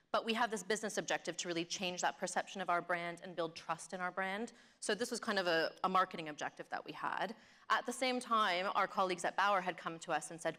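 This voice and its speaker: A woman's voice: medium pitch, sharp tone. A woman explaining things confidently and conversationally as a panelist. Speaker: brand marketing executive, panelist. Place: conference stage.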